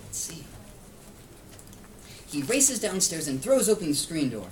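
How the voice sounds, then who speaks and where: Male storyteller for a small child, animated and explanatory, picked up from a distance over background noise. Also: on the high side, measured pace. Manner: explains and asks simple questions for a child. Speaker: read-aloud storyteller to a young child. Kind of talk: interactive picture-book reading.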